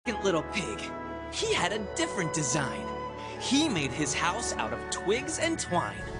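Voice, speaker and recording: A narrator giving scripted rhyming storybook narration in a slow, even reading. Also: mid-range voice, over loud music.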